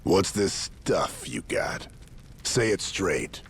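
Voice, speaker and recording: A man playing a blunt, hot-tempered veteran Yakuza in a video game, gravelly and confrontational. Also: low-pitched, very animated, slow, heavily acted. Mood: stern, probing. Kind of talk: video game cutscene acting.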